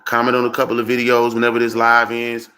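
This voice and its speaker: A man's voice: medium pitch, monotone, quick pace, sharp tone. A man from Birmingham, Alabama, chatting loosely to viewers on a music livestream and leaning on "you know what I'm saying.". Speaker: independent music artist hosting a livestream. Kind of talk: casual livestream chat.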